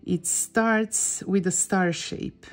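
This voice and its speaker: A woman's voice: mid-range voice, fairly even intonation, drawn-out words. A middle-aged woman with a Croatian accent, a native Croatian speaker, giving a casual drawing tutorial and often searching for words. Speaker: drawing teacher and host.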